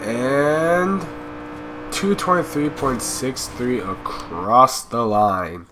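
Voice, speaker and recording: A man's voice: medium pitch, extremely slow, background noise. A young man with a cold commenting casually as he plays a racing game, rambling with fillers. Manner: laid-back, rambling with fillers. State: stuffy with a cold. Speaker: gaming channel host.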